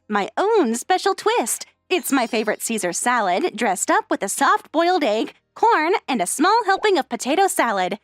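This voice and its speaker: A sincere, sheltered high school girl heroine played by a woman with a high, lilting voice. She is composed and polite, in an anime comic dub style, recorded close and dry. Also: dry recording. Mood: proud, polite.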